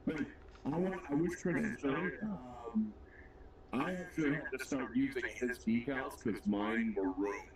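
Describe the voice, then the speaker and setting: Laid-back man with a deep voice. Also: a little slow, distant mic, crowd noise, rough recording. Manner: laid-back, rambling, self-deprecating. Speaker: livestream co-host. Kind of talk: casual chat while building a model kit.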